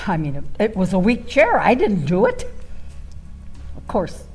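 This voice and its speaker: A woman's voice: mid-range voice, huge pitch swings, breathy edge, faint music behind, exaggerated voice. A woman playing a flamboyant, self-dramatizing storyteller in a comic radio monologue, wry and flirtatious, drawing out words for effect. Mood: mock indignant. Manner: theatrical, drawn-out delivery with pauses, sighs and exclamations.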